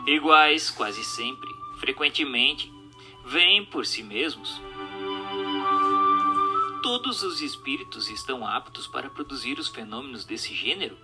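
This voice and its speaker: A man with a Brazilian accent giving a dramatized reading of a spiritual text in a formal, didactic, clearly enunciated manner. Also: medium pitch, very animated, measured pace, over loud music. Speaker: narrator.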